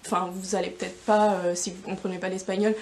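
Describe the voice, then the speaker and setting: A woman's voice: mid-range voice, level tone, fast talker. Young Spanish-born woman chatting casually. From Spain. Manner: rambling, many fillers and restarts, gesturing with hands. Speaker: knitting podcast host. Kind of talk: casual video podcast chat. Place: yarn shop in front of shelves.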